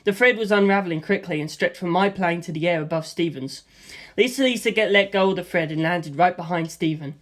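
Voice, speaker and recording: A reader telling an adventure story in the first person, moving through the scenes in a steady, plain way. The voice sits at an ordinary middle pitch and stays even and unhurried from line to line. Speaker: audiobook reader.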